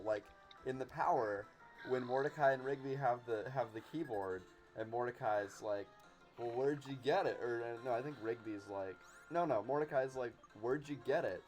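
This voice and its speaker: A young man with a mid-pitched voice hosts an informal podcast conversation. He talks in a halting way, repeating words as he finds his point. Faint music runs underneath. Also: a little mellow. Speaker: host. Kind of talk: casual podcast chat.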